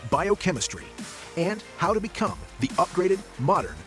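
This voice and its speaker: A man's voice: high-pitched, big pitch swings, moderate pace, fairly bright tone. A man reading a scripted show intro with a punchy, promotional delivery. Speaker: announcer. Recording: intro with music underneath.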